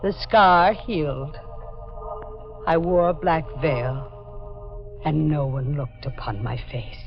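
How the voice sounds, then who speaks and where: A low-voiced, bitter, haunted young woman broods melodramatically in a 1940s horror drama. The recording is dull and old, with faint music behind. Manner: brooding, melodramatic first-person storytelling. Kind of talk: 1940s radio horror acting.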